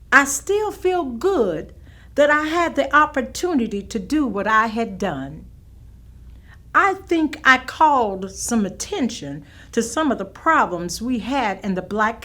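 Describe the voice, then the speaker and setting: A woman actor playing a determined civil rights campaigner, giving an earnest, deliberate staged reading. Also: a little high, fairly bright tone, exaggerated voice. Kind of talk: staged reading of oral history.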